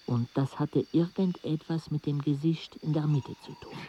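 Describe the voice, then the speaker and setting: A man in a radio play acting a weary travel companion who narrates in a measured, reflective way. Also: on the high side, mellow, highs cut off, slight background noise, natural voice. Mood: reflective narration.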